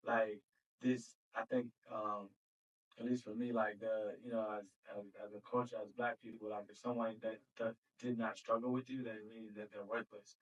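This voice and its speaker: A man's voice: lowish voice, flat delivery, moderate pace. A man hosting a podcast, talking loosely about faith and filling with "like". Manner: loose, fills with "like", spiritual talk. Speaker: podcast host. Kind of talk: casual chat. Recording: distant, dull off-mic sound.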